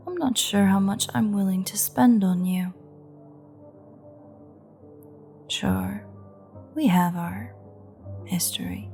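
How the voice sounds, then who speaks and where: Woman performing a threatening vampire seductress, audio drama, very slow delivery, gravelly fry, breathy half-whisper, teasing and sardonic. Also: on the low side, a little mellow. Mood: smug, taunting. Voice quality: breathy, often dropping to a near-whisper. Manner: slow, taunting, drawling. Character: menacing, teasing female vampire gloating over captive hunter. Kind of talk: intimate roleplay audio drama monologue.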